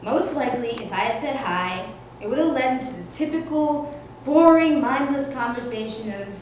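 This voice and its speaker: A woman's voice: high voice, lively intonation, moderate pace. A woman from Mexico with no accent, performing a one-woman comic storytelling show on a small theater stage in a wry, self-deprecating way. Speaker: solo performer. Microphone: distant room mic. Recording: echoey hall taped from far off, noisy.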